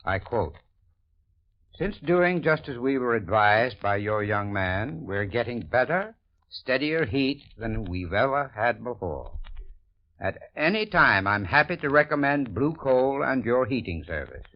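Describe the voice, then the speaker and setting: A man's voice: mid-range voice, sing-song, a little slow. A man reading a scripted radio advertisement in a friendly, persuasive manner. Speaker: sponsor spokesman. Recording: dull old radio recording.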